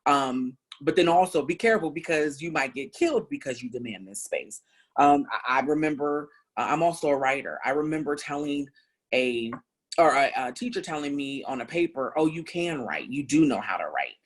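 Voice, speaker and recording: Deep-voiced woman in her thirties. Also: animated, moderate pace, husky. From Columbus, Ohio, US. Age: 30s. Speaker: panel speaker. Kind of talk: personal testimony in a panel talk.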